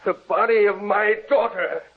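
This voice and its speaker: An actor playing a grieving, solemn father, speaking in a measured, mournful old-time radio drama manner. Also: high-pitched, breathy edge. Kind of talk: 1940s radio drama acting. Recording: noisy tinny old radio recording.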